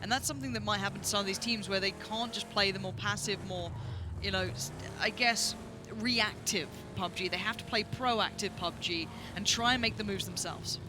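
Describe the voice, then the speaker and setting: High-voiced excitable male esports caster. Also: moderate pace, background noise. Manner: excitable, shouts during fights. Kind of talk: live esports commentary. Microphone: headset.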